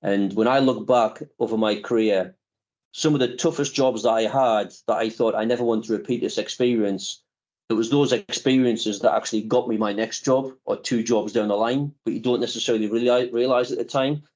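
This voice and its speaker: A man in his fifties, a company chief executive, answering interview questions in a measured, reflective way with frequent pauses. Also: mid-range voice, fairly even intonation, darkish tone, highs cut off.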